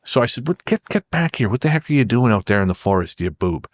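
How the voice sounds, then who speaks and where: A man's voice: medium pitch, sing-song, fast, no echo. A man speaking as a podcast guest, telling personal stories in a casual, reflective and wry way. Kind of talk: casual conversational storytelling.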